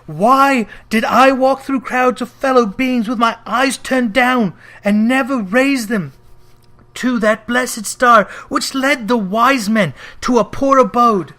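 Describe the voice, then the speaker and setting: A stern and sorrowful ghost played by a male reader with a high voice for a man. He declaims his storybook warnings slowly and solemnly, drawing words out into a remorseful wail. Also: pushed and bright, heavily acted. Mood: anguished, wailing. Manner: wailing, solemn, drawn-out declamation. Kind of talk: theatrical storybook reading.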